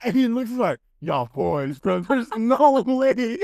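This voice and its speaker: Animated young-sounding man telling stories, very dry sound. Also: extremely high pitch, takes it slowly, gravelly, no echo. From Dominican background. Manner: animated, slangy. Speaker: podcast host. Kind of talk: casual chat, storytelling.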